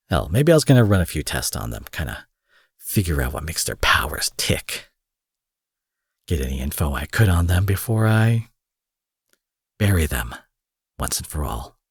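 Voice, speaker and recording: A low-voiced villain played by a man speaks in an intimate audio drama, coaxing and possessive. His husky delivery swings widely from forceful declarations to breathy murmurs. The sound is close and dry, with a theatrical, protective menace. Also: no echo, full character voice. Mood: menacing, musing. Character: smooth protective male supervillain courting his captive rival. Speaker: audio roleplay performer.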